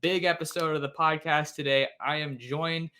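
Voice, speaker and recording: A man's voice: a little high, steady tone, moderate pace, sharp tone. A man hosting a podcast, asking questions in a friendly, casual way and restarting his sentences often. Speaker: podcast host. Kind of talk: casual interview.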